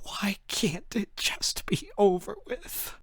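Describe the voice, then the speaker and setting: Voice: medium pitch, slow pace, vocal fry, a bit bright, husky, no echo, whispered, voice pushed up, heavily acted. A narrator voicing a distraught, heartbroken young protagonist in an audiobook, crying and pleading in a choked-up, strained voice. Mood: desperate despair.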